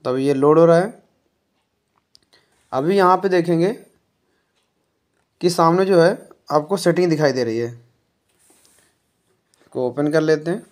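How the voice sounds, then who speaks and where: A man calmly walking viewers through a phone reset step by step, often filling pauses with "jo hai". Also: fairly high pitch. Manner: unhurried, explanatory, fills pauses with "jo hai". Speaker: tech tutorial presenter.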